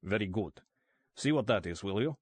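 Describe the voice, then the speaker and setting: A man's voice: lowish voice, animated, normal speed, slightly rough, no echo. A man voicing an amiable but patronizing male Soviet general in a storybook reading.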